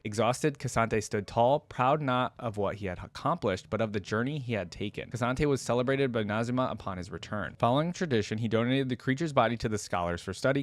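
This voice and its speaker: A man's voice: lowish voice, no echo. A man reading a story aloud and breaking off for casual, rambling commentary full of fillers as a reaction video creator. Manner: casual, filler-heavy commentary between read passages.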